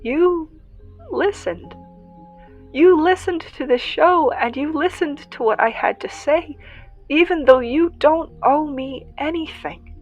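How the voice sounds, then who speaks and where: A woman playing an earnest young survivor who hosts a radio show in an audio drama, confiding reflectively in listeners with halting pauses. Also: sits high, dull audio, faint music behind. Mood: tearful gratitude. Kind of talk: first-person audio drama monologue, podcast-style confiding.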